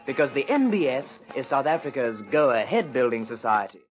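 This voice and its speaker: A man's voice: medium pitch, lively intonation, moderate pace, over music. A man reading a scripted radio commercial as an announcer. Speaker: advertising announcer.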